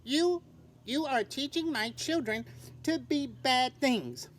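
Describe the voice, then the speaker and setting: Storybook mama bird, acted by a woman: raised pitch, stern, scolding, bossy. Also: lively intonation, slow, slight background noise. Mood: alarmed, scolding. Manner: indignant, bossy. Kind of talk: children's storybook character voice.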